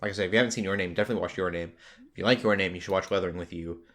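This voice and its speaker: A man hosts a relaxed, conversational podcast. His voice sits fairly low but has a sharp, bright edge. He talks like an enthusiastic fan making recommendations to listeners. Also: rapid-fire. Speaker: podcast host. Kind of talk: casual pop culture podcast chat.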